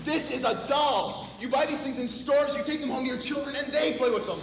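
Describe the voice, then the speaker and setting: A young man in a school stage play, playing an anxious husband who coaxes and pleads with his wife and bursts out loud at peaks, heard from far off in an echoey hall. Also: extremely high pitch, a little fast, a little hiss or hum. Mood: anguished. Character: anxious husband Jim, gently pushing his wife to face the truth. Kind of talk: amateur stage acting. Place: high school auditorium stage. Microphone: distant room mic.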